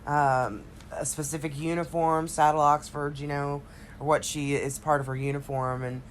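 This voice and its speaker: A woman podcast co-host with a throaty voice from a cold, chatting casually and haltingly through a TV recap. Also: low-pitched, flat delivery, a little hiss or hum. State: recovering from a cold, slightly congested.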